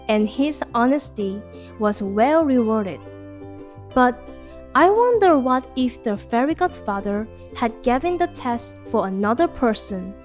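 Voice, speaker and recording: A woman narrating a scripted fairy tale for children as a storyteller. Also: fairly high pitch.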